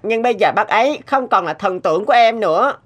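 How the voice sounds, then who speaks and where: A woman's voice: mid-range voice, lively intonation, quick pace, natural voice. A woman voicing an earnest, outspoken young boy in a cartoon, polite but reproachful. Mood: disappointed. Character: earnest, outspoken nine-year-old boy. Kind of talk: animated moral tale dubbing.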